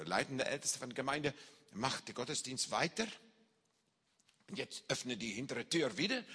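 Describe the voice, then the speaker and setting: A man preaching in a big reverberant hall, telling a personal story in slow, deliberate German with slips of a non-native speaker. His voice is bright and a bit husky, his pitch sits slightly high, and his intonation swings widely as he acts out the scenes. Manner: animated, acts out shouts and reactions. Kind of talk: sermon testimony, storytelling.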